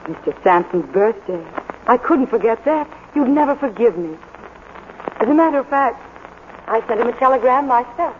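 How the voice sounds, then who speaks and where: A woman's voice: a little high, lively intonation, normal speed, natural voice. A woman playing a young, eager, ingratiating assistant in a vintage radio drama, polite and deferential. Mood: eager, innocent. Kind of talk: 1940s-50s radio drama acting. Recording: tinny, noisy old radio broadcast.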